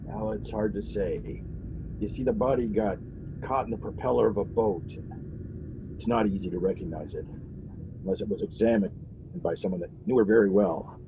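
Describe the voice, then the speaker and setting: An actor playing a grave, stolid police officer in a 1940s radio drama, plain-spoken and official, with some hesitation. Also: male voice, on the low side, moderate pace, long pauses, background noise, natural voice. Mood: grave, hesitant. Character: stolid, grave male police officer breaking bad news. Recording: dull, lo-fi 1940s radio recording.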